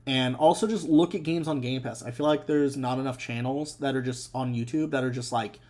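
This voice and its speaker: A man's voice: medium pitch, fairly even intonation, a little fast, a little mellow. A young adult man hosting a casual live stream, rambling with many fillers and restarts. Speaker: streamer and host.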